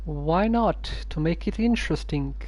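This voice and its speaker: Dude streaming a game, dry short comments, super slow, mellow kinda voice. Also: male voice, a little high, animated, low-quality recording. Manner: dry, laconic asides. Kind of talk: casual commentary over gameplay.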